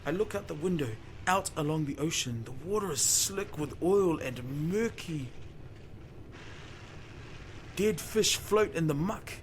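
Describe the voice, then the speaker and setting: A man acts out a distraught fisherman telling his own tale in an audio drama. The voice sits slightly high and moves theatrically between breathy murmurs and anguished cries, over clear background noise. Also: expressive, a little slow. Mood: urgent, dramatic. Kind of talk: first-person dramatic monologue, audio drama.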